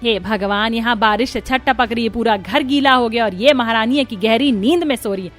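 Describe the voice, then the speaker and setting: A woman voicing a scolding, scheming middle-aged mother-in-law in an animated moral story, with a nagging, melodramatic delivery. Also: fairly high pitch, brisk, soft background music, close to an everyday voice. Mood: angry scolding.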